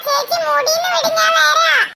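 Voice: very high voice, flat delivery, normal speed, creaky, heavy background noise. A performer voicing an eager, pleading little girl, the youngest sister in a comedy cartoon. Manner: childlike, eager. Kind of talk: Malayalam comedy cartoon dialogue.

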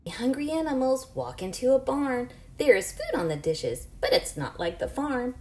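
A woman in her forties reading aloud to young children in an animated, questioning, teacherly way. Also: sits high, normal speed, reverberant. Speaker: children's storybook reader. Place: small home room, indoors.

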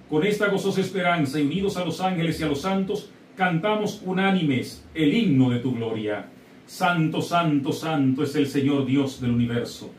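A middle-aged man with a Dominican Spanish accent, a priest leading Mass in solemn, measured liturgical prayer. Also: fairly high pitch. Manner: solemn, measured liturgical recitation, also saying the people's responses. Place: small studio before a backdrop. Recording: roomy, slightly distant mic with faint hiss.

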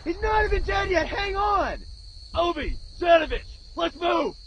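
An actor playing an agitated, insistent young infantry soldier in a war video game. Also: male voice, very high-pitched, animated, a little slow, fairly bright tone, slight background noise, exaggerated voice, poor audio. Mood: urgent.

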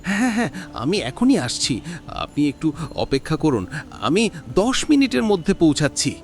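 A man's voice: on the high side, sing-song, moderate pace, darkish tone. An actor playing a wealthy, generous businessman with an emphatic, effusive manner. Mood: eager.

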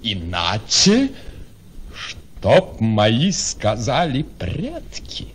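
Proud jealous gentleman, very slow and swooping. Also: mid-range voice, huge pitch swings, a little hiss or hum, heavily acted. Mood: scornful, indignant. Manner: declamatory, dramatic. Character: proud, jealous gentleman, scornful of a compromised bride. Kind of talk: theatrical verse radio drama acting.

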